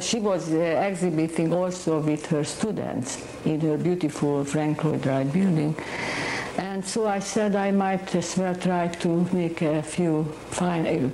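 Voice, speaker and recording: A painter from Hungary, a woman speaking English with a Hungarian accent, talks about her art in a halting, searching way. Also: fairly low pitch, mellow, echoey room, slight background noise, rough recording. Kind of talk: interview monologue.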